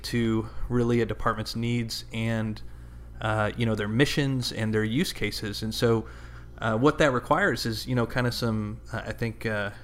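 A man co-hosting a podcast in a conversational discussion, with halting, hesitant phrasing. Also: lowish voice. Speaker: podcast co-host.

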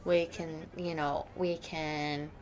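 여자가 연기하는 잘난 척하는 낮은 목소리의 부유한 학부모 엄마. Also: female voice, low-pitched, level tone, slow pace, a little hiss or hum, poor audio. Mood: smug, boastful. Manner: opinionated, rambling, boastful. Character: snobbish, judgmental wealthy school mother, retired lawyer. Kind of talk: improvised radio play dialogue.

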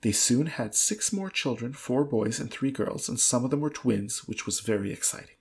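A woman's voice: very deep voice, moderate pace, darkish tone, husky. A woman co-hosting a podcast, reading a story dramatically and chatting casually with wry amusement. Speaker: podcast co-host.

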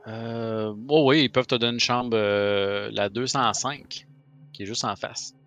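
A man's voice: medium pitch, steady tone, a little slow, fairly bright tone, smooth, faint music behind. A man with a Quebec French accent, narrating as game master in a casual voice call, chatty, with many fillers.

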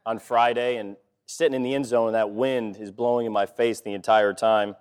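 A man preaching a sermon, slightly hoarse from a cold, talking in a conversational way with many fillers and restarts. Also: on the low side, steady tone, moderate pace. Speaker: pastor.

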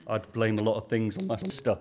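A male preacher opens his sermon in a relaxed, conversational way. He often stumbles and repeats words as he finds his thoughts. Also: on the low side, fast, slight background noise.

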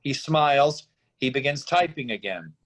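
A man's voice: mid-range voice, expressive, moderate pace, fairly bright tone, low-quality recording. A man narrating a screenplay, reading out stage directions as scripted narration.

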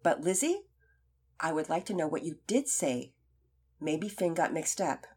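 A woman voicing a calm, serious male Sunday school teacher who speaks evenly and patiently. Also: deep voice, slightly rough, exaggerated voice. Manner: calm, measured.

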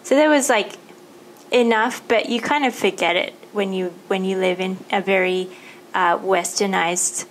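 A woman's voice: medium pitch, fairly even intonation, a little slow, a little hiss or hum, rough recording. A woman hosting a podcast interview, chatting casually with many fillers and long rambling turns. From Thailand. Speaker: podcast host.

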